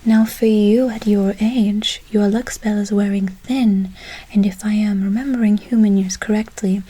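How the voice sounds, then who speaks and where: A woman playing a kindly, mysterious forest witch in a slow, breathy whisper, for an ASMR role play with soothing repeated words and quaint phrasing. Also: mid-range voice, steady tone, warm tone, close to an everyday voice. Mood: soothing, gently boastful. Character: kindly, mysterious female forest witch casting good-luck spells.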